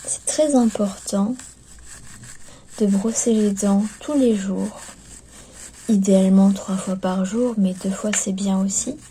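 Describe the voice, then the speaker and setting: Hushed, very mellow ASMR woman. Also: medium pitch, steady tone, husky. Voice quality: soft, hushed, often slipping into whisper. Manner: gentle, unhurried, hesitant clinical patter. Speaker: ASMR performer playing skeleton clinic staff. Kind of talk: ASMR roleplay. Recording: lo-fi recording with background noise.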